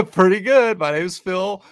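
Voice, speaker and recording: Casual male show host, fairly high voice. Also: animated, slow, dry recording, clean studio sound. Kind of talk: casual interview chat.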